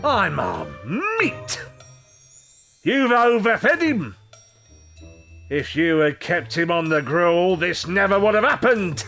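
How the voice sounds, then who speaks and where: A stern, self-important workhouse beadle in a period audio drama. He booms slowly and emphatically in a high, creaky voice that swoops up and down. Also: big pitch swings, fairly bright tone, soft background music, full character voice. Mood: pompous, grave. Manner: pompous, booming, slow and emphatic.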